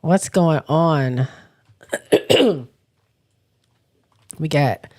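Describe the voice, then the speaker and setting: Raspy, stuffy woman hosting a live chat. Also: sits low, a little mellow. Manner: halting, often stops to clear her throat. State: hoarse and stuffy from a cold. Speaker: livestream host. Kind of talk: casual livestream chat, reading viewer comments.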